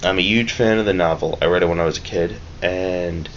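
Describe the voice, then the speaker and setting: Male vlogger, notably deep voice, rambling and easygoing, recorded on a dull lo-fi mic. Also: brisk. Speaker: vlogger reviewing a film. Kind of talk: casual, rambling vlog review. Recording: dull lo-fi mic with clear background noise.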